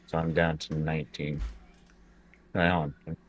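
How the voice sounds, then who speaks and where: A man with a deep voice takes part in a tabletop role-playing game as a player. His talk is casual and a little halting, often feeling its way through questions about what he can do. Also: flat delivery.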